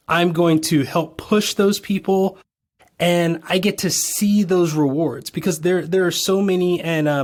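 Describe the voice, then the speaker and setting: Podcast host, slightly raspy, tired, middling pitch, long enthusiastic questions. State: tired from lack of sleep. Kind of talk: conversational interview.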